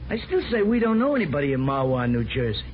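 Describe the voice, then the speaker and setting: An American actor playing Harry, a small-time con man and restless suburban husband, hesitant and halting in 1950s radio drama style. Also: mid-range voice, sing-song, slight background noise, natural voice. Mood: reluctant. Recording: muffled vintage radio recording with music.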